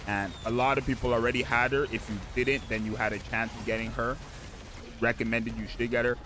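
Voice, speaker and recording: Slightly raspy just-woke-up man. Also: medium pitch, level tone, a little fast, noisy background. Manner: rambling, keeps asking "right?". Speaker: gaming video host. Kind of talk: casual gameplay commentary.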